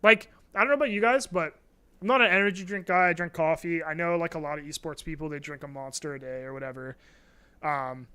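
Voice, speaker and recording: A man's voice: fairly high pitch, fast talker, sharp tone, smooth. A man co-hosting a live stream, chatting casually with lots of hesitations and the odd swear. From Canada. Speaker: co-host. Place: studio.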